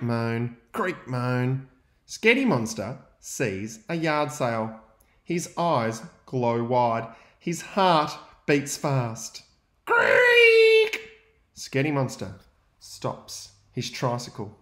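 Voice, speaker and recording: A young adult male teacher, reading a picture book aloud to young students and pausing to ask them questions. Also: medium pitch, reverberant. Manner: gentle, expressive storytelling with pauses to ask questions.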